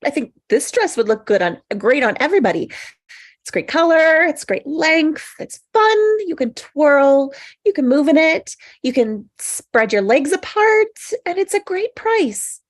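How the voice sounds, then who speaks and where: A woman's voice: sits high, sing-song, a little slow. A woman playing a stubborn, defensive maid of honor, awkward and insistent, in a comedy table read. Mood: awkward persuasion.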